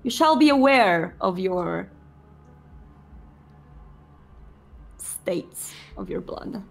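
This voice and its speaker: A woman playing a composed, commanding male vampire prince in a tabletop game, speaking in a measured, formal way. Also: mid-range voice, expressive, creaky, exaggerated voice. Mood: stern, measured.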